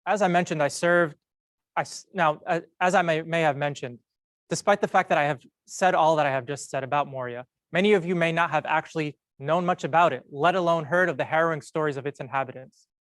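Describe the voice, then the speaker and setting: A young man tells a prepared story in a measured, earnest way, slowing down and pausing to stress key words. His voice sits a little high for a man. The sound is close and dry, with almost no room echo. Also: fairly bright tone. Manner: measured, earnest delivery with slow emphatic pauses. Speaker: medical student speaker.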